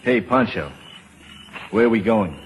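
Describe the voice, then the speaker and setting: An actor playing a tough, world-weary American drifter, brusque and sardonic, in 1940s radio drama style. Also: male voice, lowish voice, animated, a little slow, slight background noise. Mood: wry. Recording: reverberant old radio drama, music behind.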